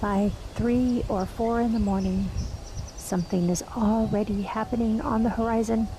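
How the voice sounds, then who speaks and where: A woman guiding a meditation, speaking softly and unhurriedly with long pauses. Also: fairly high pitch, fairly even intonation, noisy background. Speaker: meditation guide. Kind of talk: guided meditation.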